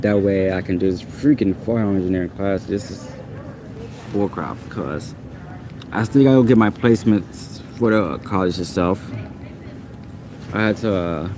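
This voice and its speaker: A man's voice: sits low, a little slow, pauses often, background noise. A man whose first language is Portuguese, ranting casually and with exasperation in a rambling, repetitive way. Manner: exasperated, rambling, repeats himself.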